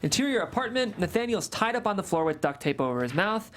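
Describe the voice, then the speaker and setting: A man's voice: high voice, very animated, fast talker, fairly bright tone, slight background noise. A man reading stage directions in a plain, matter-of-fact way at a table read.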